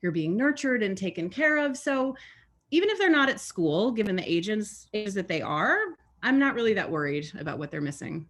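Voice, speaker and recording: A woman playing an unworried, mid-pitched apolitical woman. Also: very animated, normal speed, a bit bright. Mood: reassuring. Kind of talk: documentary theater, acted as group discussion.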